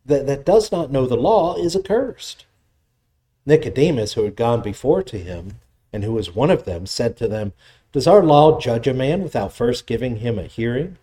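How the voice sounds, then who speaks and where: A man preaching, reading scripture aloud, praying, then explaining the passage in a measured, teaching way. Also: mellow. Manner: measured expository preaching, voice drops low in prayer. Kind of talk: sermon with scripture reading and prayer.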